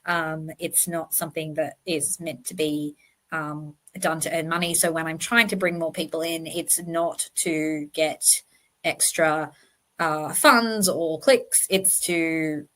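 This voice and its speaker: Female host, true crime commentary. Slightly low voice, slight lisp, earnest and conversational delivery. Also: fairly even intonation, slow pace, fairly bright tone. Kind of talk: informal explanatory monologue.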